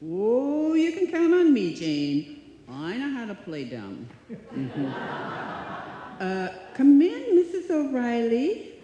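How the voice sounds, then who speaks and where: A woman acts a sweet, dim-witted young roommate in a radio comedy. She is earnest and timid, with a mellow, very lively voice that echoes in a big hall. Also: fairly high pitch, slow pace, highs cut off, a little hiss or hum, exaggerated voice. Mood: falsely innocent. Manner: naive, earnest, hesitant. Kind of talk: live old-time radio comedy acting. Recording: echoey hall, live stage performance.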